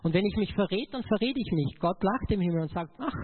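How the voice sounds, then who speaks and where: High-pitched Austrian man preaching, phone-like sound. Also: lively intonation, fast, breathy edge. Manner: emotional, emphatic, addresses listeners directly. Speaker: motivational speaker giving a testimony.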